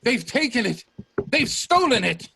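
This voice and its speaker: A man playing a driven, stubborn, hot-tempered Edwardian inventor in a radio play, forceful and demanding. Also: very high voice, sing-song, unhurried, vocal fry, slight background noise, exaggerated voice. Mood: alarmed, furious.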